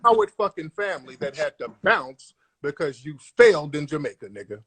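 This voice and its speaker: A man's voice: a little high, sing-song, fairly quick, a bit bright. A man hosting a live call-in room, grilling callers in an aggressive, profane, repetitive way. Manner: aggressive, interrogating, profane, repeats himself. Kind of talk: confrontational live-stream comedy bit.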